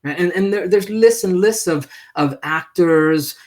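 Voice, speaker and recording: A man hosting an unscripted livestream talk, speaking haltingly with repeated words and frequent check-in questions. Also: sits high, darkish tone. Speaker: livestream host and presenter.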